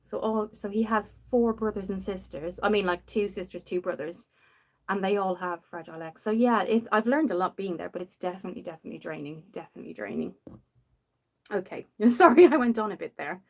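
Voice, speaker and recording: A young woman from Dublin with a softened Irish accent, rambling casually while hosting a makeup livestream and answering viewers. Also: mid-range voice, fairly quick, creaky. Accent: Dublin Irish, softened after years in the UK. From Ireland. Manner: chatty and rambling, reads out viewer comments.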